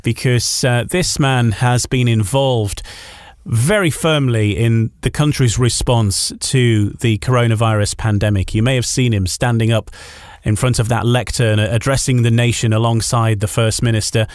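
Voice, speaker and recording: A man's voice: mid-range voice, moderate pace, dry recording, clean studio sound. A man hosting a radio interview, introducing and questioning his guest in long, winding sentences.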